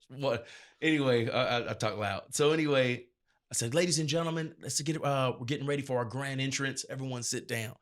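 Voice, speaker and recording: A man's voice: mid-range voice, a little fast. A man speaking as an interview guest and event DJ, telling stories in a casual, emphatic, slangy way. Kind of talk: casual anecdotal storytelling.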